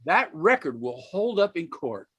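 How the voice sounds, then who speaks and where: Man playing an excitable, animated radio cop. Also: a little high, a little slow, slightly rough, heavily acted. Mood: firm. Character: excitable tough male police officer. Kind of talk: old-time radio drama acting.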